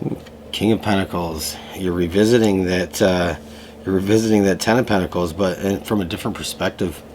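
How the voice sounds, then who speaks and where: A man giving a casual tarot reading to camera, slightly hoarse from a lingering cough, talking in a loose way with many fillers. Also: low voice, brisk, background noise. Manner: relaxed, loose, full of fillers and restarts. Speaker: tarot reader. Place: room at home.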